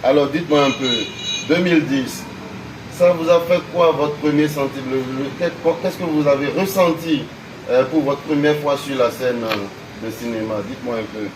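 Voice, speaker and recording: A man's voice: mid-range voice, moderate pace. Guy hosting a tv interview, keeps saying super super, roomy echo, mic kinda far off. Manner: effusive, repeats praise words. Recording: echoey room from a distance with hiss.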